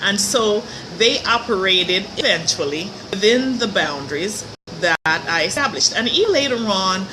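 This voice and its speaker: A middle-aged woman, a little hoarse, hosting her show in a chatty, upbeat live-stream talk from a hotel room. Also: mid-range voice, animated, moderate pace, background noise. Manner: chatty, upbeat, with light laughs. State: slightly hoarse. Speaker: host of a motivational show. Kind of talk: casual live-stream monologue. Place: hotel room near a pool.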